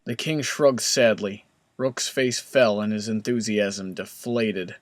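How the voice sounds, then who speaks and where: A man's voice: lowish voice, steady tone, slow, dry recording. A man narrating a scripted comedic story as the narrator between characters' lines.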